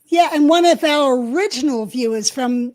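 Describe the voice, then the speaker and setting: A female co-host with a high voice. She talks in a chatty way and breaks in to explain terms for the listeners in a friendly tone. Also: moderate pace, a little mellow. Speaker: podcast co-host. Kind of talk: casual interview.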